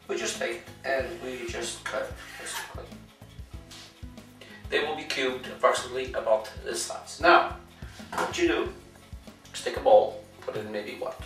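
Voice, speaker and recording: A hoarse man who has just had a cold, hosting a home cooking show and giving relaxed step-by-step instructions with many fillers while he cooks at the stove. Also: mid-range voice. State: hoarse, losing his voice after a cold. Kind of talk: cooking demonstration. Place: home kitchen at a gas stove. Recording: echoey kitchen, mic at a distance, music.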